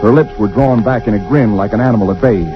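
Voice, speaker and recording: A frightened young husband, played by a man, recounting a night of horror in an old radio play. His voice sits very low and tight with dread, catching on repeated words. The broadcast sounds dull and old, with loud music underneath. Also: fast, close to an everyday voice. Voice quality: deep, tense voice with nervous stammers. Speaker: narrator and lead character. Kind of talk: 1940s radio drama acting.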